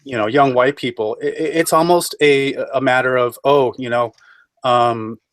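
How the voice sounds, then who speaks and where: A man speaking haltingly and repeating phrases as a panelist in a casual political discussion on an online call. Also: medium pitch, steady tone, no echo, studio quality. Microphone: online group voice call.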